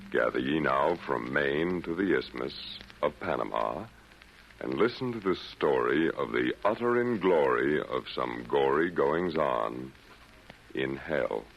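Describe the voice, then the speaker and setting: A man narrating a scripted 1940s radio broadcast as announcer, formal, measured and theatrical. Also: very deep voice, vocal fry, highs cut off, slight background noise.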